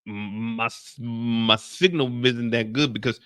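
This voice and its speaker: A man's voice: mid-range voice, sharp tone, clear, resonant tone, no echo, clean studio sound, pitched below the performer's own voice. A man doing a stammering nervous young henchman. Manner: stammering, halting, repeats words. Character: nervous stuttering young male henchman on phone.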